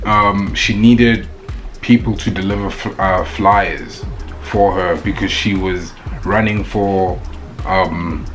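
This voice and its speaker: A young man with a South African accent, talking casually and rambling a little in a one-take vlog. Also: fairly low pitch. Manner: chatty, rambling, loose and playful. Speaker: vlogger.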